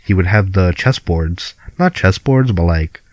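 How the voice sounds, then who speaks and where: A young man with a Filipino accent and a low, deep voice. He co-hosts a podcast from home over a call, easygoing and laughing between lines. The sound is close and completely dry. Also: animated, unhurried, dry recording. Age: young adult. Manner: laid-back, laughs often, swears casually. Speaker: podcast co-host. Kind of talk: casual chat.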